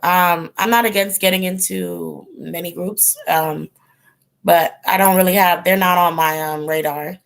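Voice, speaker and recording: A woman's voice: on the low side, steady tone, a little slow, creaky. A woman hosting a casual livestream, rambling with lots of ums and likes while chatting with viewers, her voice giving out. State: losing her voice. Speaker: host of a tarot channel, chatting with live viewers.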